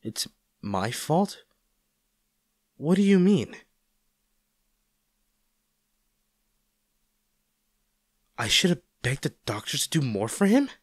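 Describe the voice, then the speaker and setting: A man's voice: fairly high pitch, big pitch swings, unhurried, slightly rough, no echo, clean studio sound, full character voice. A man acting a caring, worried boyfriend in an intimate audio roleplay, speaking tenderly and coaxingly to his injured partner. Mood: hurt, frustrated. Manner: tender, coaxing, hesitant, speaking directly to the listener.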